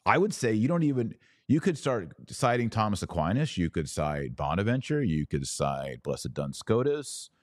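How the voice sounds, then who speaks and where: Low-voiced man, emphatic podcast host. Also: no room sound, clean studio sound. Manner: emphatic, didactic, with rhetorical questions. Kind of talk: opinionated religious commentary to camera, reading aloud at times. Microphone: close mic at a webcam setup.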